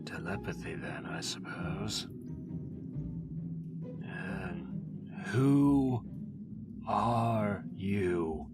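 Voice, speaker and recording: A proud, trapped sorcerer, voiced by a man, who speaks in a very deep, unhurried voice. He orders and bargains in the same haughty tone. Music runs under him. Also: lively intonation. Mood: bargaining. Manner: imperious, bargaining. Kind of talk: audio drama acting. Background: background music.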